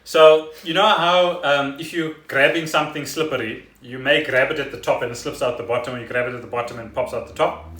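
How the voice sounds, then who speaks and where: A man's voice: mid-range voice, fairly even intonation, brisk, fairly bright tone. A South African man co-hosting a casual podcast, explaining things in a wry, conversational way. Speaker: podcast co-host. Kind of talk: casual chat explaining news. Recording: roomy, echoey home recording.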